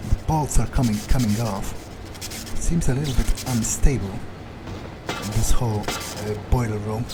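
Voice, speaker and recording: A man improvising in-character game commentary as a jaded vampire, thinking aloud in a deadpan, sardonic, musing way. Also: on the low side, measured pace, very noisy. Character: jaded, unimpressed male vampire muttering his thoughts. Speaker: let's player roleplaying in character.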